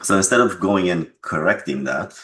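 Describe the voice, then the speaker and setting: A man's voice: low voice, expressive, normal speed, fairly bright tone. A man being interviewed as a game developer, talking casually and reflectively about his creative work.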